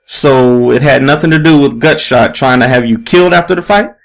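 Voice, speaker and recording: A man's voice: medium pitch, fairly quick, vocal fry, natural voice, rough recording. A man voicing a pushy, relentless female investigative reporter in an audiobook story, with an emphatic, probing delivery.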